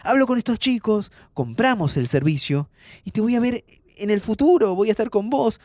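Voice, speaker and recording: An actor playing a playful, impulsive young man with an Argentine accent in an informal, expressive audio drama. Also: sits high, moderate pace, dry recording, studio quality. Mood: excited, urgent. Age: young adult. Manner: informal, expressive, breaks into restarts. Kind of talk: naturalistic audio fiction dialogue.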